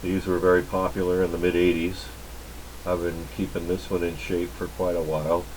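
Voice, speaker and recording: A man giving a casual hands-on workbench demonstration, unhurried and half muttering to himself as he works. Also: low voice, flat delivery, darkish tone, a little hiss or hum, poor audio. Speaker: electronics repair hobbyist, channel host. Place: indoor electronics workbench.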